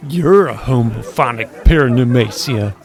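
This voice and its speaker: An actor playing a threatening junior high bully boy in a comedic audio drama, taunting as he talks. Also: expressive, normal speed, over music, lightly acted. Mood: menacing. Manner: menacing, taunting.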